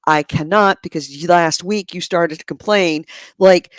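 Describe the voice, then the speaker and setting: A woman hosting a podcast in a casual chat, her voice hoarse and gravelly, talking in a chatty, animated way and laughing often. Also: lowish voice, a little slow, no echo. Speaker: podcast host. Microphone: adjustable microphone close to the mouth.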